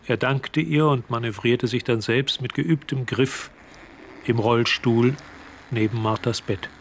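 A man's voice: mid-range voice, steady tone, a little slow, slight background noise, natural voice. A man narrating a scripted German radio drama.

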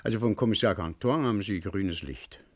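An actor playing a measured examining magistrate in a German radio crime drama, formal and composed. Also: male voice, lowish voice, no room sound, lightly acted.

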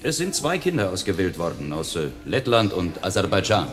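An actor in a German radio drama playing a dismissive, unbending hospital official with an officious manner. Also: male voice, low voice, very animated, fairly quick, faint music behind.

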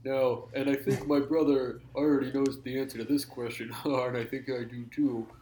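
A man's voice: mid-range voice, flat delivery, normal speed, warm tone, reverberant, a little hiss or hum, low-quality recording. A man co-hosting a comedy show, playing the straight man with mock-serious questions during improvised banter. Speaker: podcast co-host.

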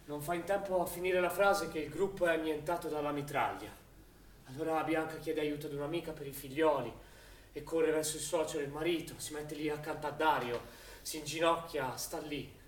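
Actor as grave male narrator, fairly high voice, theatrical, scripted war drama, echoey, distant mic. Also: steady tone, brisk, natural voice. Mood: grave, hushed. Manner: theatrical, swings from hushed to forceful. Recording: echoey, distant recording with faint noise.

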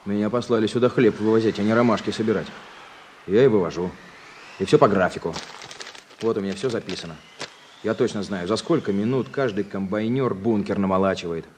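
An actor playing a cocky, defiant truck driver from town in a radio play, talking colloquially and stubbornly. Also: male voice, fairly low pitch, a little fast, darkish tone, lightly acted. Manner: colloquial, stubborn, self-justifying. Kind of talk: Soviet radio play acting. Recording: noisy vintage radio drama recording.

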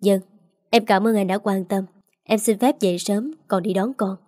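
Woman voicing gentle young mother, polite, warm low-key tone, close dry recording. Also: fairly even intonation, normal speed, no echo, lightly acted.

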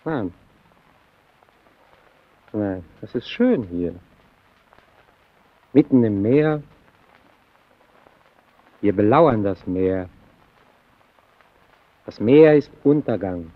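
Slow, brooding lighthouse keeper. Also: male voice, lowish voice, lively intonation, lo-fi sound, full character voice. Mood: brooding, resigned. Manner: slow, halting, intense, swelling and sinking to near murmur. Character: brooding solitary male lighthouse keeper, haunted by the sea. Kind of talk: German radio play acting.

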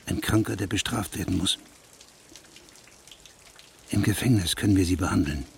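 Stern investigating judge, very low gravelly voice, measured, probing, authoritative, radio play. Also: male voice, a little hiss or hum. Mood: accusing, stern. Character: stern, methodical male judge investigating murders. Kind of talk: radio drama acting.

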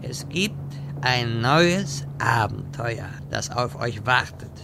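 A man playing a wise, exasperated old wizard in a fantasy parody radio play, speaking deliberately and dryly. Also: mid-range voice, animated, vocal fry, background noise. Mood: commanding. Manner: slow, deliberate, dry.

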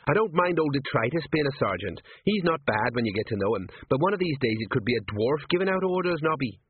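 A man's voice: medium pitch, sing-song, fast, no room sound, pitched above the performer's own voice. Man doing a rambling old sergeant. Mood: worried. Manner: rambling, folksy working-class talk. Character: aging, portly, worried watch sergeant, man. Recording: dry husky phone-like audio.

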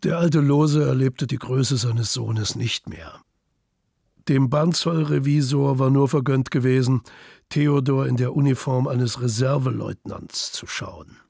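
Male narrator for literary radio drama: mid pitch, husky, gravelly. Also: measured pace, darkish tone, no echo, studio quality.

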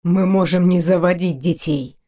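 Female narrator as stern young knight, male, terse growling delivery, stubborn, insistent, dark tone, audiobook fantasy. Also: lowish voice, normal speed, lightly acted. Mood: grim, persuading. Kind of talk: audiobook character voice.

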